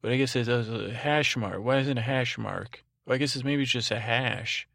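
Man, podcast host, gravelly fry, soporific monotone, meandering bedtime ramble, self-interrupting, dry close sound. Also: medium pitch, moderate pace, no room sound. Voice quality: soothing, soporific voice. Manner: droning, monotone.

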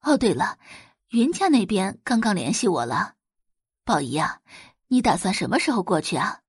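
A woman's voice: mid-range voice, big pitch swings, moderate pace, husky, dry recording, clean studio sound. A woman voicing a proud, doting middle-aged mother who fondly instructs and fusses in an audio drama. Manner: fond, instructing, fussing.

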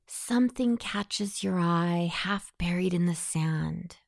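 A woman guiding a scripted hypnosis meditation in a soothing, softly breathy delivery. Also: on the low side, slow, dry recording, clean studio sound.

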